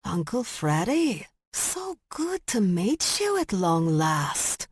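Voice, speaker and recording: A woman's voice: mid-range voice, very animated, takes it slowly, husky, dry recording, voice pushed up, heavily acted. A woman voicing a gloating, threatening Scottish kidnapper in an audiobook story. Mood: gloating menace. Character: menacing Scottish woman, a gloating kidnapper tormenting her uncle.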